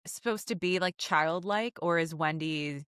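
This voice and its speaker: A woman's voice: fairly low pitch, unhurried, a bit bright, clear, resonant tone, no room sound, studio quality. A woman co-hosting a podcast, joking and riffing in a casual, playful way. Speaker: podcast co-host. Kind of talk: casual comedy chat, riffing.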